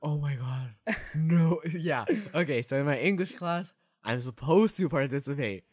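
A man's voice: a little high, normal speed, a little mellow, no echo, studio quality. A young man co-hosting a podcast, talking casually in a rambling way peppered with filler words. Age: young adult. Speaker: podcast co-host. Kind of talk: casual chat.

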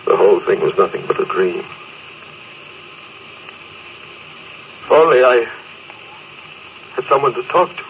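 A proud, emotionally closed commander acted by a man speaks in a medium-pitched American voice. His delivery is emphatic and clipped, in the style of classic radio drama. The old recording is muffled, with clear background noise. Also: lively intonation, brisk, natural voice. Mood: anguished. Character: proud, stern, emotionally closed spaceship captain and fleet commander.

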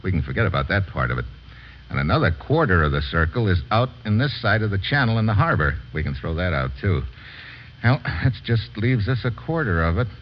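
Casting: commanding American investigator, clipped and resourceful, vintage radio thriller, muffled noisy recording. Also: male voice, low-pitched, big pitch swings, a little fast. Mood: focused, businesslike. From United States. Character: brisk, resourceful American male investigator giving orders. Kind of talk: old-time radio drama acting.

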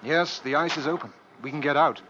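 An actor playing a hearty, reassuring ship's officer with a brisk storytelling manner in an old radio drama. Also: male voice, a little high, close to an everyday voice. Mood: reassuring, cheerful. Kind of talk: 1950s radio drama acting. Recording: muffled old radio recording, faint noise.

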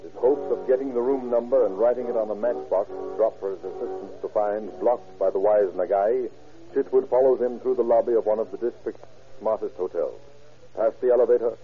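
A man's voice: lowish voice, phone-quality sound. A man with an American accent giving dramatic scripted narration for a 1940s radio serial. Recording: thin old radio recording, faint music.

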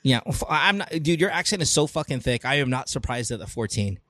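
A man's voice: mid-range voice, lively intonation, a little fast, fairly bright tone, no room sound. A man hosting a casual advice chat, blunt and teasing, swearing freely. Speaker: host.

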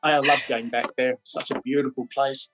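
A middle-aged man born in Scotland and raised in Australia, a podcast guest chatting in a relaxed, rambling way with many fillers. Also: medium pitch, normal speed, over music. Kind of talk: casual interview chat.

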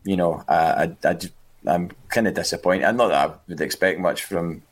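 A man's voice: low-pitched, steady tone, moderate pace. A man co-hosting a podcast, talking casually with frequent restarts and repeated words. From Scotland. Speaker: podcast co-host. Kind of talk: casual chat.

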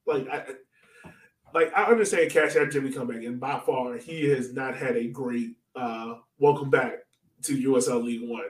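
Male sports podcast co-host, casual and informal, mid-pitched, recorded in an echoey room away from the mic. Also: normal speed. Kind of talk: casual soccer chat. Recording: roomy echo, distant from mic.

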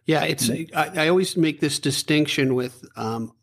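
A man's voice: on the high side, a little slow, gravelly, husky. A man in his fifties interviewing a band, chatty and given to rambling asides. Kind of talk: casual interview.

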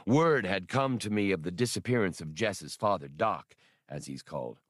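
Man reading as a grave, deep-voiced old scholar. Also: lively intonation, no echo, studio quality. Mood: grave, regretful. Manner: formal, precise, deliberate wording. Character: older scholarly man, measured, courteous, grave. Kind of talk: audiobook character dialogue.

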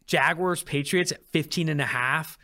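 A man's voice: fairly high pitch, normal speed, pushed and bright. A man hosting a sports podcast, casually analysing games and betting in an emphatic, mildly profane way.

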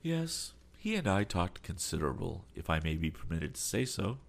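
A man voicing a calm, polite older sea captain who keeps adding apologetic asides. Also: deep voice, normal speed, gravelly, full character voice.

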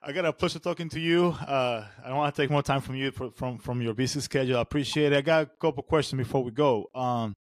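A man from Venezuela hosting a firefighter podcast, easygoing and quick to laugh, often affirming with "yeah". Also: mid-range voice, no echo. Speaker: podcast host. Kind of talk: casual podcast interview.

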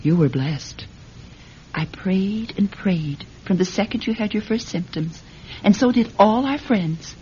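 Radio drama actress as pious, solicitous wife, middle-aged; apologetic, fussing delivery, muffled lo-fi sound. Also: female voice, expressive, measured pace, warm tone, close to an everyday voice. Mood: concerned, pious. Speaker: actor. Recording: dull lo-fi recording with low rumble.

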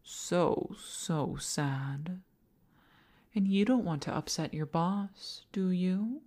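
A woman playing an obsessive older female boss in an intimate audio roleplay, coaxing with condescending sweetness and slipping into breathy half-whispers. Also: fairly low pitch, drawn-out words, mellow. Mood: possessive, obsessive.

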